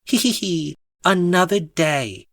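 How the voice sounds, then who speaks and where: A man's voice: on the high side, lively intonation, unhurried, sharp tone, dry recording. A man voicing a cheery, dreamy, genteel woman in a chirpy, gushing, sing-song manner for a story.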